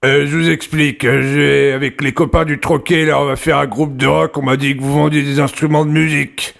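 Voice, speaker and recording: A man's voice: fairly high pitch, flat delivery, brisk, vocal fry, fairly bright tone. A man playing an earnest, rambling construction worker who wants to start a rock band, in a prank phone call. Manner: rambling, hesitant, pestering. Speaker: prank caller.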